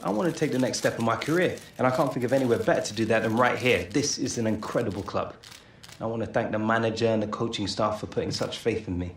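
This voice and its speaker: A man playing a modest, determined eighteen-year-old footballer, speaking in measured phrases in a game cutscene. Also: lowish voice, a little hiss or hum, lightly acted. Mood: earnest, composed. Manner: measured, modest interview answers. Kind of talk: video game cutscene acting. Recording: echoey room with faint music.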